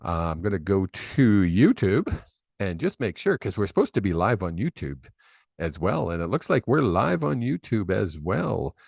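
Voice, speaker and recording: A man's voice: low-pitched, big pitch swings, normal speed, creaky, darkish tone, no room sound, studio quality. A man hosting a live psychic reading stream, chatty and rambling with lots of fillers, on a desk microphone. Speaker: psychic medium host. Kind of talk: casual live-stream chat. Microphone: desk microphone on a video call.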